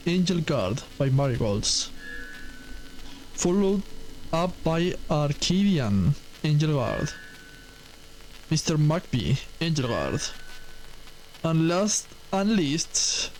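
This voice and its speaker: A man's voice: a little high, a little hiss or hum, rough recording. A man playing a visual novel, reading its text aloud as he goes. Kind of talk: game let's play, reading dialogue aloud.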